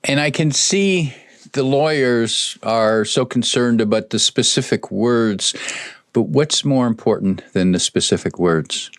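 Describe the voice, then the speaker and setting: A male podcast host and presentation coach asking measured, reflective interview questions over a video call. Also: medium pitch, dry recording, studio quality.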